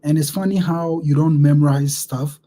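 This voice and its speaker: A man's voice: fairly high pitch, fairly even intonation, moderate pace, dark tone, a little hiss or hum. A man from Ghana with a Ghanaian accent, hosting a casual interview, reflective and affirming, often repeating words as he thinks. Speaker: interview host.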